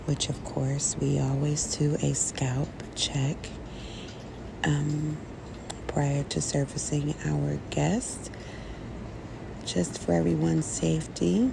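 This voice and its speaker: A woman's voice: low voice, vocal fry, noisy background. A woman giving a casual, chatty and welcoming ASMR voiceover as the host of the channel. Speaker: host and narrator of an ASMR scratch-therapy channel.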